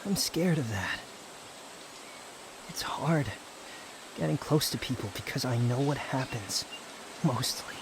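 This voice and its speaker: Slow-talking aloof young wanderer, acted by a man. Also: medium pitch, lively intonation, background noise. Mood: brooding, guarded. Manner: slow, brooding, haughty delivery with sighs and pauses. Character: aloof, proud young male wanderer, guarded yet teasing and secretly fond. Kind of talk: intimate roleplay ASMR audio drama. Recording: outdoor nature ambience with insects. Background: insect and nature ambience.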